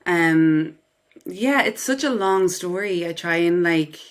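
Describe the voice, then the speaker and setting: A woman's voice: lowish voice, expressive, takes it slowly. A woman from Dublin with an Irish accent, giving a personal account as an interview guest. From Dublin, Ireland. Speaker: podcast guest, writer and psychotherapist.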